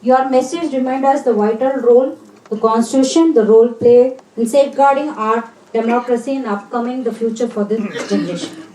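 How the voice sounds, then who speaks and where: High-voiced Indian woman announcing in a hall. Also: a little fast, mellow. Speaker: event master of ceremonies. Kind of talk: formal scripted event announcing. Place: indoor function hall with PA. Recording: echoey hall, distant microphone.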